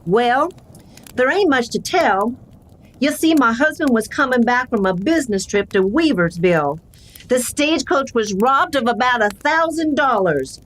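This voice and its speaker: High-voiced feisty frontier ranch widow played by a woman. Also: expressive, moderate pace, poor audio. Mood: sad, resolute. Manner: folksy frontier drawl and slang. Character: tough widowed Old West ranch woman, feisty and plainspoken. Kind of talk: old-time western radio play acting.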